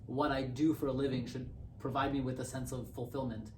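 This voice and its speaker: A young man from Bangladesh, raised in Canada, talking as a podcast guest in a thoughtful, halting way and repeating words while he thinks. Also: medium pitch, little pitch movement, darkish tone, distant mic. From born in Bangladesh, raised in Canada. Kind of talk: casual conversational interview. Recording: roomy, off-mic, faint hiss.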